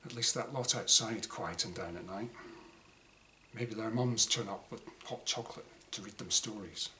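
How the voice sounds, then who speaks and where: A man reading his own first-person short story dramatically, in clipped diary-like phrases with pauses. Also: on the low side, pushed and bright. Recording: clearly noisy room ambience.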